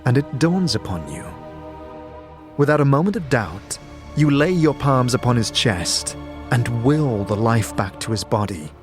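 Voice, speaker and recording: Male narrator for fantasy audio series, measured and grave delivery, clear musical backing. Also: mid-range voice, expressive, over music. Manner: measured, solemn storytelling. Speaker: narrator and game master. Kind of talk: dramatic second-person fantasy narration.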